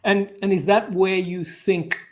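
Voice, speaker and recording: A man's voice: high voice, little pitch movement, measured pace. A man interviewing a guest in a conversational way, putting analytical questions.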